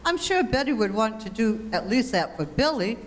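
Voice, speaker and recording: A woman's voice: on the high side, big pitch swings, normal speed. Older lady doing sensible mild mom, old radio comedy, roomy hall sound. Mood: practical, dry. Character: sensible, mild, practical mother and housewife. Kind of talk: 1940s radio comedy acting. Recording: reverberant hall.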